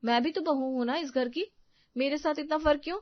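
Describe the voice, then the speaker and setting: Woman doing a fed-up young bahu, high plaintive voice, dry muffled recording. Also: a little fast, no echo, lightly acted. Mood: aggrieved. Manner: plaintive, protesting. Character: overworked, aggrieved young daughter-in-law, pleading. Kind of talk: Hindi family drama storytelling.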